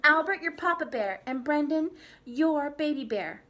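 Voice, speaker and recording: A pushy little triceratops girl who barks orders in a high, bouncing voice, as a woman reads her aloud. She is loud and insistent, sure the game is hers, and her pitch swings up and down as she bosses the others. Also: lively intonation, unhurried. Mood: bossy.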